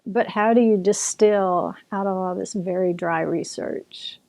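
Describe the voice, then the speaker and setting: This is a woman with a mellow, warm voice explaining history in an easy, conversational way. She talks like a teacher chatting with a friend, restarting sentences and circling back as she goes. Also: mid-range voice, fairly even intonation, measured pace. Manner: chatty, with restarts and occasional laughter. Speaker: author and Bible teacher.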